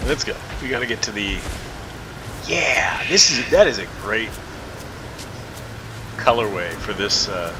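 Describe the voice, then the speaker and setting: A man's voice: fairly low pitch, unhurried, long pauses. A man giving casual, rambling commentary while playing a video game, chatting away to the creatures in it. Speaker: gaming video host. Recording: loud background noise under voice.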